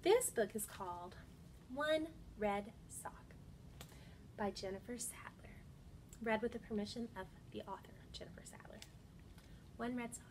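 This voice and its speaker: A woman's voice: medium pitch, measured pace, long pauses, background noise. A woman in her thirties speaking to young children as a storytime host, in an encouraging, child-directed way, recorded indoors on a camera mic. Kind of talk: singing songs and reading aloud to young children. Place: indoor room by large windows.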